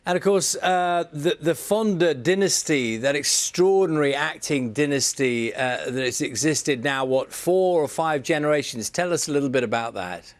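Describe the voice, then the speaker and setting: A man's voice: a little high, normal speed, few pauses, no echo. A man presenting live news and putting questions to guests. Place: dry broadcast studio.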